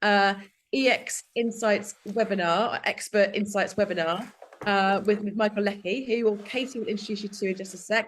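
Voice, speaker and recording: Croaky woman opening a webinar. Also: fairly high pitch. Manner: chatty, repeats words and restarts often. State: croaky after illness. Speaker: webinar host. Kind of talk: casual webinar welcome. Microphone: video call.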